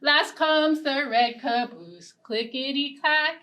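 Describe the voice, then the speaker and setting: High-voiced young woman hosting children's storytime. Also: sharp tone. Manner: clear, simple, deliberate phrasing for small children. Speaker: children's library storytime host. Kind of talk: children's storytime with singing and simple narration. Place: indoor storytime set. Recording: distant mic, ukulele music. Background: ukulele strumming.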